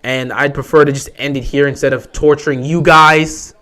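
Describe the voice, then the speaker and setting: Young guy streaming a football game, voice shot and hoarse, kinda fed up, pitch a bit high. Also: steady tone, moderate pace, sharp tone. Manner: frustrated, resigned rambling. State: hoarse, sore throat. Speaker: livestream host. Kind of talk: casual live game commentary.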